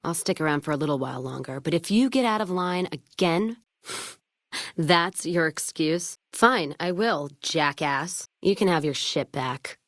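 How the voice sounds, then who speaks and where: A tough female caravan boss from a video game, pitched low for a woman. She is blunt and sardonic, swears freely and sounds worn down by a hard-drinking life. The sound is dry, with no room to it. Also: lively intonation, unhurried, dry recording. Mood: stern, blunt, then angry, scornful. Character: tough, hard-drinking, foul-mouthed, world-weary female caravan owner. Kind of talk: video game character dialogue.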